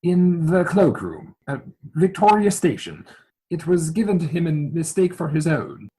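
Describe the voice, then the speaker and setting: A man acts out an earnest young gentleman in a stage comedy. His voice is slightly rough and moves up and down a lot, with formal, hesitant phrasing and an anxious, deferential temper. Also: fairly high pitch, very animated, unhurried, full character voice, low-quality recording. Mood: sheepish. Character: earnest, flustered young English gentleman suitor, polite and anxious. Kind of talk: theatrical comedy dramatic reading.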